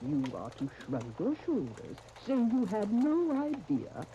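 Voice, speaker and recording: Scheming woman, lively swooping delivery. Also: mid-range voice. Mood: commanding. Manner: commanding, deliberate instructions. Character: vicious scheming woman plotting to frame a family. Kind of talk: 1930s radio soap opera acting. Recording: muffled, noisy 1930s radio recording.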